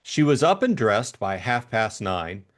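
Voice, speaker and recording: A man's voice: on the low side, very animated, measured pace. A man reading scripted story narration as an audiobook narrator.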